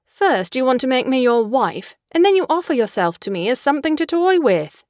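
A woman's voice: high voice, sing-song, a little fast, a little mellow, no room sound, clean studio sound. An actress playing a fickle, imperious young woman in an audio drama, poised and articulate, with a mocking manner. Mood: assertive.

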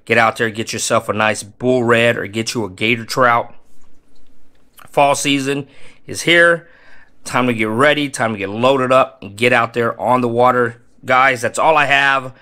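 A man in his thirties giving casual, energetic fishing tips to camera in a small home room, stressing points by repeating them. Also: medium pitch, unhurried, clean tone. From Texas Gulf Coast. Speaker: fishing channel host.

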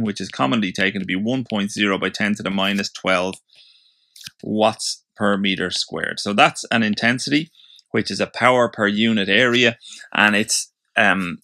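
A man's voice: fairly low pitch, bright, forceful tone, no echo. A man giving an informal tutorial, explaining step by step as he thinks aloud. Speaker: physics tutor. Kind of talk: informal explanatory lesson, working through a problem.

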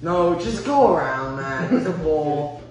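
A man's voice: a little high, expressive, takes it slowly. Teasing young man in an echoey kitchen. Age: young adult. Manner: teasing, giving instructions. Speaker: vlogger. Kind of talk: casual chat. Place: home kitchen, indoors. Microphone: far camera. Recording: echoey kitchen from far off, background noise.

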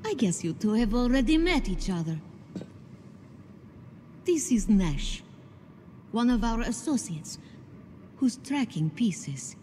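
An actress playing a poised, calculating secret organiser in a video game, speaking in a composed, formal and persuasive way. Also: female voice, a little high, sing-song, takes it slowly, long pauses, mellow. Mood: coolly amused. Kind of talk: video game cutscene voice acting. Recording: reverberant hall, faint background noise.

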